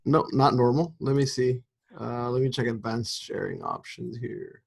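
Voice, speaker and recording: A man with a gravelly, husky voice gives quick, helpful answers while sorting out screen sharing on a video call. He speaks in short bits, heard over a computer mic. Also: mid-range voice, darkish tone. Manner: brief, helpful replies.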